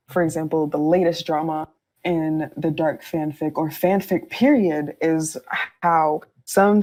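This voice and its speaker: A woman's voice: low voice, darkish tone, poor audio. A woman co-hosting a casual podcast chat, candid and slangy with a wry edge.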